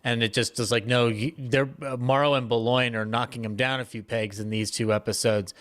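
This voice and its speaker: A man speaking as a panelist in a casual discussion, earnest, with halting phrasing. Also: mid-range voice, steady tone, fairly bright tone.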